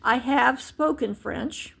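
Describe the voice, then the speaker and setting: A woman's voice: high voice, slow, gravelly, a bit bright, husky. A woman teaching a casual language lesson, chatty and rambling, with many fillers and restarts. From United States. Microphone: handheld device camera.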